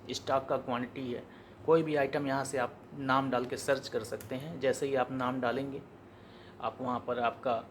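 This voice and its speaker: Indian man, mid-pitched voice, informal tutorial delivery, explanatory, faint background noise. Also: a bit bright. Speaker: software demonstrator. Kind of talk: informal step-by-step software tutorial.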